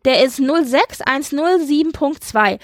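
A woman's voice: sits high, unhurried, dry recording, clean studio sound. A woman chatting enthusiastically and casually as co-host of a fan podcast about a TV show. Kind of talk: casual fan chat recapping a TV episode.

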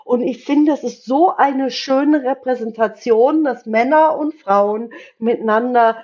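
A woman's voice: a little high, unhurried, darkish tone. A woman co-hosting a podcast, casually discussing a series and repeating phrases for emphasis. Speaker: podcast co-host. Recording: slightly dull, lo-fi microphone sound.

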